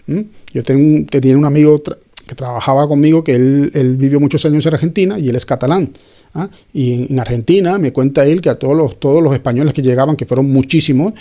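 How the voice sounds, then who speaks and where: A man's voice: medium pitch, fast talker, mellow. A man giving an informal Bible teaching, talking conversationally with frequent restarts and checking questions to his listeners. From Spain.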